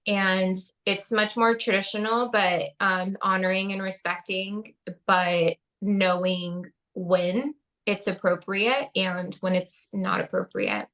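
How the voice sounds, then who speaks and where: A young woman talks earnestly as a podcast guest. Her tone is heartfelt, and her speech is loose and conversational, full of "um" and "like." Her voice sits at an ordinary middle pitch. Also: takes it slowly, fairly bright tone. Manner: earnest, heartfelt, with frequent fillers like "um" and "like". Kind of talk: casual conversational interview.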